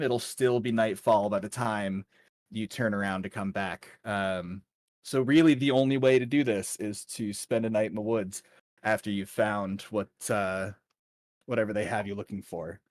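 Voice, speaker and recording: A man running a tabletop game as game master, narrating and explaining rules in a casual, halting way. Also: medium pitch, dry recording.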